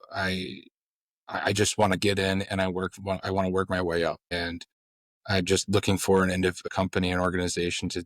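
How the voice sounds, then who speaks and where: A man's voice: sits low, no room sound. A young adult man with an American accent, a guest in an interview, talking earnestly and stammering over repeated words. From United States. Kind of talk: casual interview chat.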